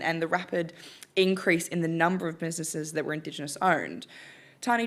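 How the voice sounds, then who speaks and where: A woman's voice: deep voice, a bit bright. A woman moderating a panel, asking encouraging questions. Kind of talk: panel discussion.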